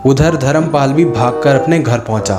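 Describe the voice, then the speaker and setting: A man's voice: medium pitch, normal speed, reverberant, over loud music. A man narrating a scripted children's story as a storyteller.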